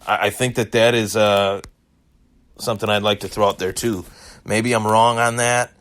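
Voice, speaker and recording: A man hosting a solo sports podcast, hoarse and a bit hungover, rambling through fight reactions with many fillers and restarts. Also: fairly low pitch, flat delivery, moderate pace, fairly bright tone, dry recording. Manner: rambling, repeats himself, frequent fillers. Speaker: podcast host.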